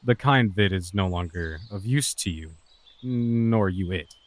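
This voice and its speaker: Low-voiced, composed lordly scholar. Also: male voice, measured pace, no echo. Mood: reproachful. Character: composed, enigmatic lordly male scholar, gently reproachful. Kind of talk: dramatic fantasy audio drama acting.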